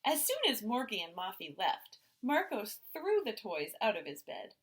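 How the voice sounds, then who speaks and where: A woman teacher reading a picture book aloud to young children in expressive, simple phrases. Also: mid-range voice, moderate pace, slight background noise.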